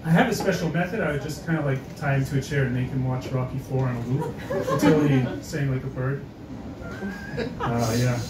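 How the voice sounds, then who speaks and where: A man's voice: medium pitch, a little fast, very noisy. Wry stand-up guy, far and echoey. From Boston area, USA. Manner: wry, self-mocking, hesitant with fillers. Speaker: stand-up comedian. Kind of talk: stand-up comedy set. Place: comedy club stage. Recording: echoey club audio from a distance.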